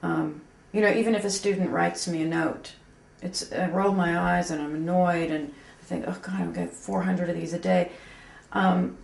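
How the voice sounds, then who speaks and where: A woman's voice: on the low side, fairly even intonation, normal speed, vocal fry, hardly any pauses. A woman in her fifties, a graphic designer, speaking frankly and self-deprecatingly in an interview, with many fillers and restarts. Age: 50s. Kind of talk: candid reflective interview talk. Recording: echoey room with faint background hum.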